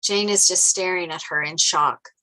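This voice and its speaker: A woman's voice: lowish voice, moderate pace, bright, forceful tone, low-quality recording. Woman narrating a script. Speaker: narrator reading stage directions. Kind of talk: scripted narration at a table read.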